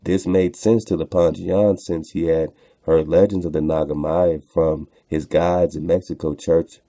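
A man's voice: deep voice, flat delivery, unhurried, mellow, dry recording. A man hosting a radio show, reading texts aloud with informal commentary, in a rhetorical, preacher-like way, repeating phrases for emphasis.

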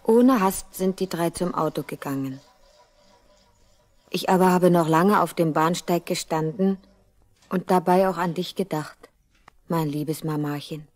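An actress as a low-voiced, wistful stationmistress. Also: female voice, measured pace, pauses often, darkish tone, close to an everyday voice. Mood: wistful, tender. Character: reflective, tender stationmistress confiding her thoughts. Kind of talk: 1970s German radio play inner narration.

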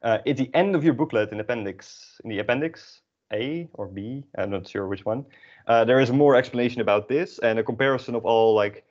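A man's voice: mid-range voice, brisk, darkish tone. A young man from the northern Netherlands with a Dutch accent, teaching a language class informally in a rambling, hesitant, self-deprecating way. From Friesland, northern Netherlands. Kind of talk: informal online lesson, explaining off the cuff. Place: online video call. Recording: dull, lo-fi video call audio.

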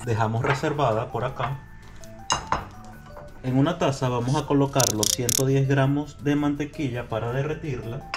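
Casting: thirties male home-cook host, steady mid pitch, instructive, music bed under voice. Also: moderate pace. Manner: instructive, walks viewers through steps. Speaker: cooking show host. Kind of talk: casual recipe demonstration. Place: home kitchen, indoors. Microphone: handheld action camera. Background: background music.

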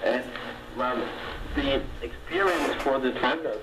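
A man giving measured, hesitant explanations in an unscripted broadcast discussion, heard through a shortwave radio speaker. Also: mid-range voice, over a noisy crowd, poor audio. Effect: played through a shortwave radio speaker. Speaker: radio commentator on tourism.

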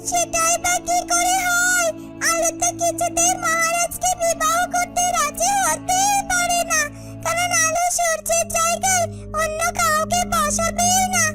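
An extremely high, squeaky little talking bird, loyal and earnest, from a fairy-tale cartoon. The pitch stays narrow and chirpy, with loud music underneath. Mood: puzzled, anxious. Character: small talking yellow bird, loyal, anxious messenger.

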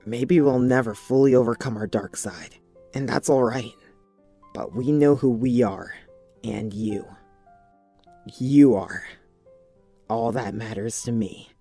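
A man's voice: medium pitch, takes it slowly, pauses often, mellow, husky, dry recording, faint music behind. A young man playing an earnest, devoted teenage boy in a cartoon comic dub. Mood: tender, reassuring. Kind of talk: fan comic dub voice acting.

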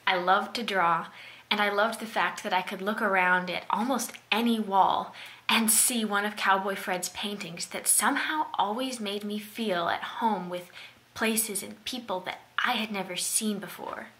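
A woman's voice: mid-range voice, steady tone, a little slow, bright, forceful tone. A young woman giving a prepared keynote speech to a webcam in a small room, telling her story in an earnest way. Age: young adult. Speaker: keynote speaker. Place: small indoor room at home. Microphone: webcam at close range.

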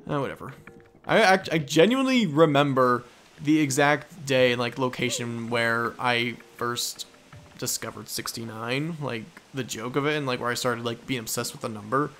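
A man's voice: medium pitch, normal speed, fairly bright tone, a little hiss or hum. A man hosting a let's play with casual, goofy, rambling gameplay commentary. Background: video game music.

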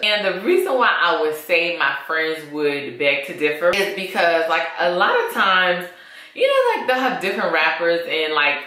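Chatty woman in an echoey room. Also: fairly low pitch. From Louisiana. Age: 30s. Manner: chatty, addresses viewers as y'all. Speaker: beauty vlogger. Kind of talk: casual chat while doing makeup. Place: small indoor room against a plain wall. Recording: very echoey room with music behind.

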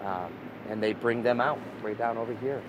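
A man's voice: mid-range voice, steady tone, normal speed, muffled recording. A man in his thirties leading an outdoor walking tour, telling history as a story with lots of fillers and restarts. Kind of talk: casual guided history talk. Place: outdoors on a city street beside a prison wall. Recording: outdoor street with traffic noise.

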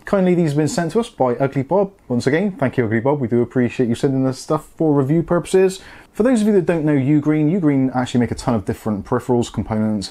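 A man's voice: mid-range voice, mellow. A man in his forties presenting a casual product review to camera, chatty and explanatory in manner. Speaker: tech review host. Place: small indoor home studio with brick-look wall.

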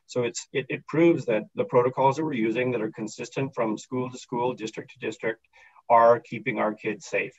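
A man in his fifties, a school district official, giving a measured, formal answer on a video call. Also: medium pitch, fairly even intonation. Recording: dull lo-fi call audio.

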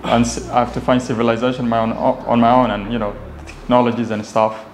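A man's voice: medium pitch, little pitch movement, a little fast. Young Somali man in an echoey room. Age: young adult. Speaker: interview guest, entrepreneur. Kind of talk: casual personal storytelling in an interview. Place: indoor office lounge with glass walls. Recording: echoey room, faint background noise.

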